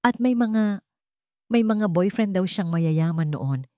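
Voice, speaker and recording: A woman's voice: medium pitch, animated, takes it slowly, dark tone, no room sound, studio quality. A woman narrator voicing a scheming female cousin in a story, speaking with a Filipino accent and hesitant, feigned concern. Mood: hesitant insinuation. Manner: hesitant, falsely worried. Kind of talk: storybook character dialogue.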